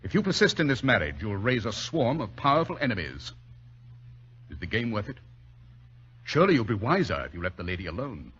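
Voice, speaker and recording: A dull, old radio recording of a British gentleman detective. His voice is husky and swings freely in pitch, with a dry, urbane confidence and crisp, theatrical phrasing. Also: sing-song, a little fast, pauses often, exaggerated voice. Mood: cool challenge. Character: shrewd, composed gentleman detective, drily polite and confident. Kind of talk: 1940s radio drama acting.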